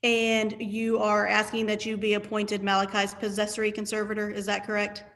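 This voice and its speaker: A woman's voice: mid-range voice, completely flat delivery, normal speed, a bit bright. A woman attorney on a video call, asking witnesses formal yes-or-no questions in a courtroom hearing.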